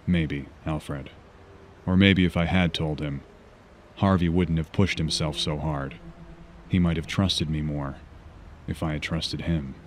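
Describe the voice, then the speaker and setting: A man's voice: a bass voice, fairly even intonation, a little slow, long pauses, slight background noise. A man voicing a grave, guarded vigilante detective in a comic book fan dub, speaking solemnly and tersely. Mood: brooding, regretful.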